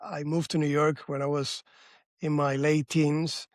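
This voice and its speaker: A male interviewer with a slightly high voice chats casually. He feels his way through sentences haltingly, searching for words. The recording is dry, with little room sound. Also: little pitch movement, dry recording. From Cuba. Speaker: interviewer, host.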